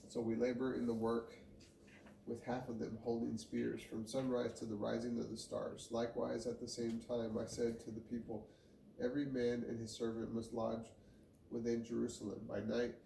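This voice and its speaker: Gravelly man reading scripture in a roomy space. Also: mid-range voice, completely flat delivery, normal speed, warm tone. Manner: stumbles and repeats phrases. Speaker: Bible reader. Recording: roomy, distant mic, faint noise.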